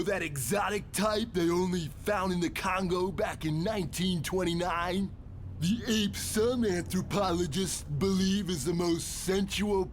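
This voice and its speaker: A man voicing a crude mutant villain who also poses as a gushing woman in a motion comic. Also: sits high. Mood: gloating, crude. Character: crude huge male villain catfishing as a gushing lovelorn woman.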